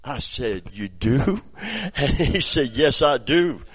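A middle-aged man preaching a sermon, his voice raspy from preaching, moving between quiet storytelling and loud exclamations. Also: mid-range voice, animated, takes it slowly. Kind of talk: sermon with personal anecdotes.

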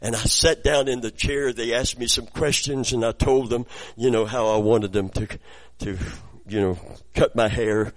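A middle-aged man preaching a sermon, his voice raspy from preaching, moving between quiet storytelling and loud exclamations. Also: mid-range voice, fairly even intonation, normal speed, low-quality recording. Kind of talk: sermon with personal anecdotes.

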